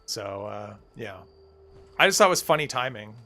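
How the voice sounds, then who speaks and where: A man streaming a game live, chatting in a rambling, self-deprecating way with lots of fillers, his voice a little hoarse. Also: on the low side, very animated, moderate pace, pushed and bright. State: slightly hoarse. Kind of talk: casual live-stream chat while gaming.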